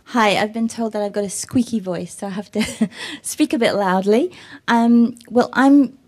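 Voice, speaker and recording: Woman moderating a panel, halting. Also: on the high side. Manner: chatty, halting questions with fillers. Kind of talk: panel discussion.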